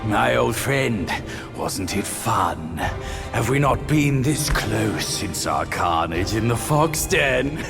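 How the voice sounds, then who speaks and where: A brash shapeshifting beast, taunts in a gravelly, slow voice that swings widely in pitch. The boisterous game performance sits over clear music. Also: male voice, animated, full character voice. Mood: mocking glee. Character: brash, mocking male shapeshifting beast warrior with hooves. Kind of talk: dramatic video game cutscene acting. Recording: voice under prominent music.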